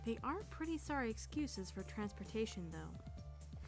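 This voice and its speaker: A woman's voice: on the high side, very animated, unhurried. A woman playing a sarcastic, easily flustered teenage girl trainee in a visual novel dub, narrating her thoughts and stammering when nervous. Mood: wry narrating. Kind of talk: first-person visual novel narration and dialogue. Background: music.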